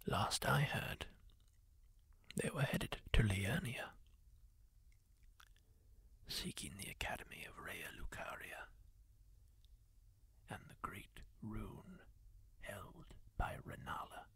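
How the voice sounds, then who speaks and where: A gentle masked healer-warrior from a fantasy roleplay, voiced by a man in a slow, gravelly whisper. The breathy hush dips and rises with lively swings of tone. It is spoken close to the mic, as in ASMR. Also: lowish voice, exaggerated voice. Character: kindly masked healer-warrior, calm storyteller.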